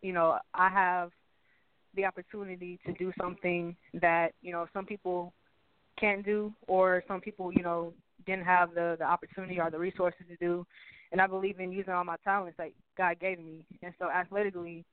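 A young woman in her twenties chats as a guest, upbeat and full of "you know"s. Her voice is smooth and clear but comes over a thin, tinny phone line. The sound is dry, with no room around it. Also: on the low side, level tone, brisk, no room sound. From Texas. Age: 20s. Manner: upbeat, many fillers and repeats. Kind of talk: casual interview chat.

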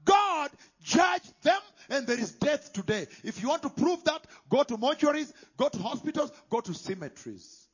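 A man preaching a sermon, emphatic, moving between loud declarations and hushed whispers. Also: extremely high pitch, sing-song, normal speed, hardly any pauses. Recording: dull lo-fi sound.

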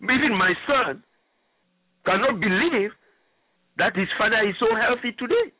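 A man's voice: sits high, animated, moderate pace, slightly rough, no echo. A middle-aged man from Gabon, a native French speaker, telling his personal story with emphatic repetition and many fillers. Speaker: guest. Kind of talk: personal story on call-in radio. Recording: tinny phone line.

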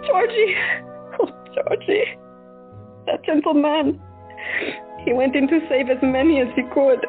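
A grief-stricken female doctor, shaken and devoted, as an actress plays her, her high voice trembling and tearful. The sound is thin and phone-like, with faint music beneath. It is an audio drama. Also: animated, measured pace. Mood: grieving.

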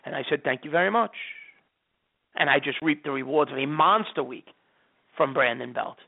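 A man's voice: fairly high pitch, normal speed, vocal fry. A man in his thirties hosting a fantasy sports radio show, rambling and repeating words as he talks. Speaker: host. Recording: tinny phone-like sound.

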